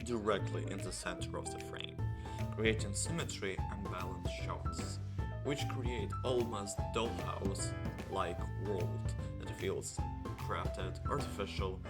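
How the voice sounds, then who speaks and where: A man's voice: low-pitched, slow. A man reading scripted narration as the narrator of a video essay. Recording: music under the voice.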